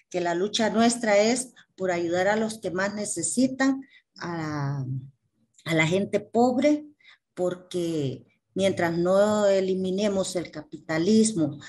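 A middle-aged woman giving a halting, informal political speech on a video call, often adding tag questions. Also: fairly low pitch, a little mellow. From Nicaragua. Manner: hesitant, with frequent tag questions. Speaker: activist speaker at a meeting. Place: small indoor office, on a video call.

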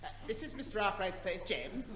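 Fussy radio sitcom gentleman, animated, sharp-toned, prim and officious. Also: sits high, a little fast, full character voice. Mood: indignant. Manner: polite, prim, indignant. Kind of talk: 1960s radio sitcom acting.

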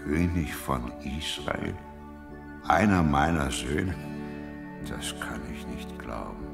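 Humble old-time farmer dad in a bible radio play, deep, slow, really expressive swings. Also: male voice, vocal fry, over music, full character voice. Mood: humble, astonished. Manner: deliberate, earnest, expressive. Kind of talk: German Bible audio drama acting.

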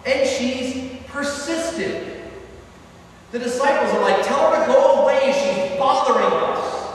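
High-voiced priest preaching in echoey church. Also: male voice, unhurried. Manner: conversational preaching with asides. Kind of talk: sermon. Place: large stone church, indoors. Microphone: far camera at the back of the church. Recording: echoey church from far off, faint hiss.

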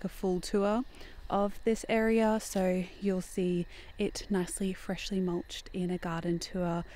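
A young woman talking casually to a handheld camera in a large outdoor garden, relaxed and a little rambling. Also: lowish voice. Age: young adult. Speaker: gardening vlogger. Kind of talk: casual vlog talk to camera. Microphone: phone or camera held at arm's length.

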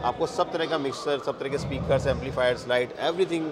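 A man in his thirties at a trade expo, explaining products with frequent "uh" pauses and English words mixed in. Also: mid-range voice, crowd noise. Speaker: company representative at a trade expo. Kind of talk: product walkthrough interview. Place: indoor exhibition hall. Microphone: lapel mic. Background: music from the expo.

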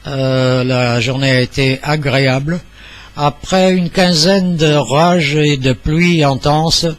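A man's voice: medium pitch, fairly bright tone. Older man, polite radio operator. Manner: formal, polite, halting. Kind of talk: amateur radio contact, courteous exchange. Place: small indoor home radio room. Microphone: studio mic on boom arm, headset.

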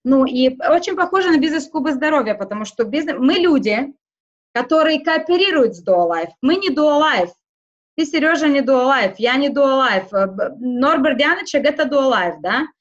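A woman whose first language is not Russian, speaking haltingly in a casual interview, with many fillers and restarts. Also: sits high, expressive, highs cut off. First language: not Russian; schooled outside Russian. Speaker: interview guest.

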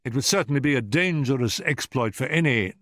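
A man's voice: mid-range voice, animated, fairly bright tone, no room sound, pitched above the performer's own voice, natural voice. A man voicing an urbane, unflappable male detective in an audiobook story, speaking politely with dry amusement. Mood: brisk, analytical. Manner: polite, measured, wry.

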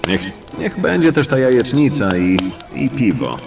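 A man playing an irritable, threatening fantasy tough guy in an audio drama, gruff and curt. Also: mid-range voice, wildly swooping pitch, normal speed, recorded from a distance, music in the background. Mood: uneasy.

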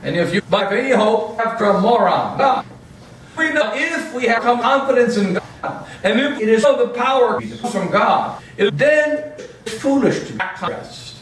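High-voiced preaching man in echoey chapel. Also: expressive, fairly bright tone, a little hiss or hum. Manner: emphatic preaching with pauses and gestures. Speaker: priest. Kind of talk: sermon. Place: small home chapel, indoors. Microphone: far camera. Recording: echoey room, from far off.

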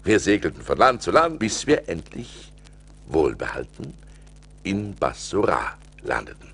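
An actor playing a rich seafaring merchant who recounts his adventures as an expressive storyteller in a radio play. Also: low voice, unhurried, creaky, breathy edge, exaggerated voice. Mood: animated recounting. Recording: vinyl record transfer with music behind.

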